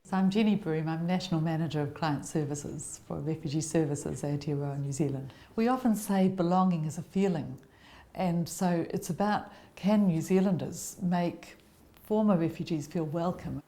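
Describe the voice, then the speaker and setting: A woman speaking as a services manager in an interview, explaining in a measured, articulate way. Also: sits low, dark tone.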